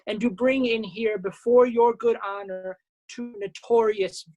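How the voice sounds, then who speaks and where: A woman's voice: fairly high pitch, steady tone, darkish tone, dull audio. Woman playing a bumbling, self-important male constable. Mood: officious. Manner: self-important, halting malapropisms. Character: bumbling earnest male constable who muddles his words. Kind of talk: Shakespearean staged reading.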